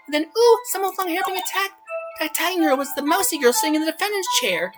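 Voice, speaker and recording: Cutesy ditzy game witness girl, super high and bouncy, loud music behind. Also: animated, fast. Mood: breezy, dramatic. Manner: girlish filler-laden talk, coy baby words. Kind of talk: video game fandub acting.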